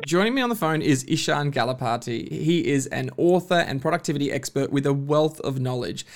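A man hosting a podcast interview, relaxed and quick to laugh. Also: a little high, noisy background. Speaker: podcast host. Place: Australia.

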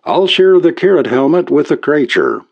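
A man's voice: on the high side, sing-song, moderate pace, darkish tone, slightly rough, lo-fi sound, voice pushed up, heavily acted. A man voicing a curious, breezily confident alien visitor who speaks in a folksy Irish brogue. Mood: eager.

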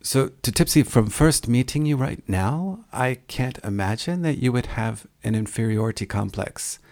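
Male interviewer, lively intonation, steady measured questions. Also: mid-range voice. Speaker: podcast host.

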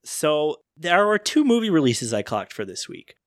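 A man's voice: fairly high pitch, big pitch swings, moderate pace, no room sound, clean studio sound. A man hosting a podcast, casually running through the week's news and chatting. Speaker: podcast host. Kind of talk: casual chat, presenting weekly releases.

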